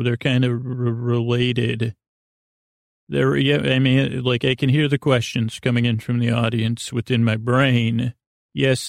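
Creaky-voiced, rambling man. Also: mid-range voice, no room sound, clean studio sound. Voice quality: creaky, soothing. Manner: meandering, halting, silly and goofy. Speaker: podcast host. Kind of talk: rambling, whimsical bedtime monologue. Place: podcast studio.

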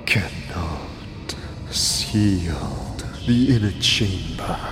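A deep, very slow ancient spirit, drenched in long reverb. He guards his tomb with wrath and grief, speaking in grave, broken, archaic phrases over music. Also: animated, exaggerated voice. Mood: sorrowful, bitter. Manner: grave, halting archaic speech in clipped fragments. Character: wrathful, grieving ancient ghost guarding a mausoleum. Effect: cavernous long reverb. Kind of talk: fantasy audio drama acting.

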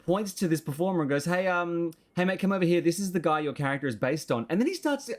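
A man with an Australian accent hosting a casual podcast, telling anecdotes in an animated, wry way. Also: on the high side, fast. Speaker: podcast host. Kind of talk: casual chat, comic anecdotes.

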